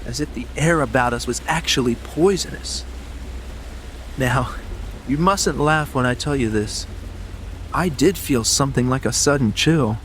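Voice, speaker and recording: A man performs an earnest, superstitious traveler in an audio drama, brooding and a little hesitant. His voice sits at a middle pitch over faint background ambience. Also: unhurried, a little hiss or hum, lightly acted. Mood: grave, haunted. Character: uneasy, superstitious yachting companion, adult man, earnest.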